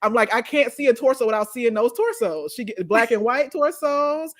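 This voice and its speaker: A woman's voice: a little high, expressive, normal speed. A woman speaking as a panelist in a casual chat, gushing about recommendations in a playful, emphatic way.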